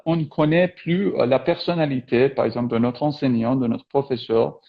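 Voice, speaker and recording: A man's voice: mid-range voice, fairly even intonation, normal speed, creaky, highs cut off. A man from Iran whose first language is Persian, interviewing a guest in casual chat over a video call and speaking hesitantly with many fillers. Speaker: interviewer, French teacher on YouTube.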